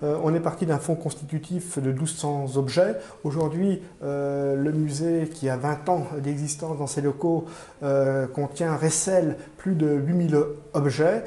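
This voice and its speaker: A man giving formal, measured explanations in an interview as a museum curator, often pausing mid-phrase as he searches for words. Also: on the high side, steady tone, warm tone. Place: indoor room in a museum. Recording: roomy, echoing indoor sound.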